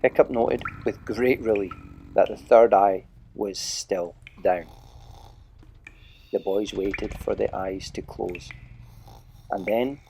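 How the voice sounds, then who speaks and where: A man narrating a children's adventure story in a measured, dramatic way, dropping to a hush at tense moments. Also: fairly low pitch, fairly even intonation, creaky, slight background noise. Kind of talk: audiobook-style storybook narration.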